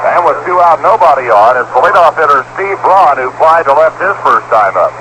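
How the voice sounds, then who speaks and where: A man's voice: a little high, lively intonation, a little fast, background noise. An American man doing live play-by-play baseball commentary for radio, in a 1970s broadcast.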